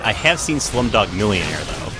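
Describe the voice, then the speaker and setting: Male co-commentator, lively intonation, casual and dryly joking, game music clearly behind him. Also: lowish voice, moderate pace, rough recording.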